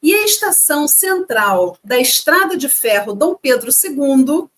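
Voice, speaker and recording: A woman's voice: high-pitched, very animated, a little slow, fairly bright tone. A woman co-hosting a casual educational chat, reading facts and adding playful asides. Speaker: co-host.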